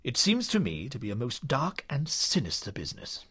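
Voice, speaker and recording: Earnest narrator-companion, played by a man in detective audio drama. Expressive storytelling, sharp tone, dramatic swoops. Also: mid-range voice, normal speed, husky, heavily acted. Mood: sombre, doubtful.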